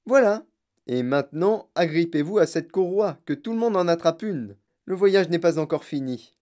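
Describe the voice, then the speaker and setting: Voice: medium pitch, animated, warm tone, pitched above the performer's own voice, lightly acted. Someone playing an exuberant, swooping chocolate-factory showman. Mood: gallant, unruffled. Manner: emphatic, brisk, proclaiming.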